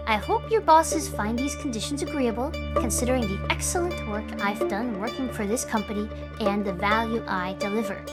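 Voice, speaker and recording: Woman playing a high-voiced, insistent young idol schemer. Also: moderate pace, hardly any pauses, loud music behind the voice, natural voice. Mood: formal, demanding. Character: scheming, defiant young female idol streamer, demanding and pleading. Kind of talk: scripted fan audio drama acting.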